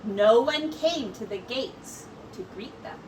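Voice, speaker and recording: Female storyteller, high voice, unhurried and expressive children's read-aloud, recorded at a distance from the mic. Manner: expressive, deliberate storytelling with brief asides to listeners. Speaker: teacher. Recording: distant mic with faint background noise.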